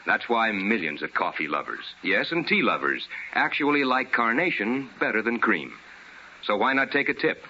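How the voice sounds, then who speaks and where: A male radio announcer with a low, very forceful voice. His delivery is polished, formal and salesmanlike, in 1950s style, with big swings of intonation. The vintage recording is dull and slightly noisy. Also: very animated, moderate pace.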